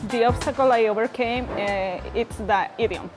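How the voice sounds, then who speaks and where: Halting Peruvian Spanish-accented woman over music. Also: on the high side. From Peru. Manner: halting, searching for words in non-native English. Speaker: trade program student giving a testimonial. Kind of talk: personal testimonial to camera.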